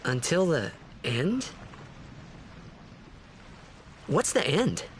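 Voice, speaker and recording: A man's voice: a little high, big pitch swings, slow pace, slight background noise. An actor voicing an earnest, naive young male adventurer in a video game. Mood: puzzled, concerned. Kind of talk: video game voice acting, wistful narration.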